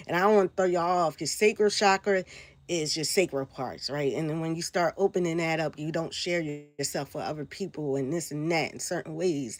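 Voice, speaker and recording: A woman from Brooklyn with a New York accent, hoarse and raspy from a sore throat, talking loosely on a live stream and often asking "right?". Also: low voice. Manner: rambling, loose, frequent "right?" and "like". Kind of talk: casual live-stream talk answering chat.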